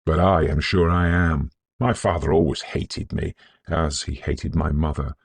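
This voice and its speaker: A man voicing a proud, emotional young Victorian gentleman in an audiobook, genteel and impulsive. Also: very deep voice, fairly even intonation, unhurried, vocal fry, slightly rough, no echo, heavily acted. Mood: bitter, wounded.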